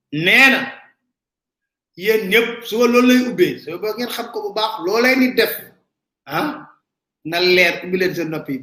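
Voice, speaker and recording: A man's voice: high voice, fairly bright tone, reverberant. A man with a Senegalese accent giving emphatic, casual live political commentary straight to his viewers. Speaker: online commentator.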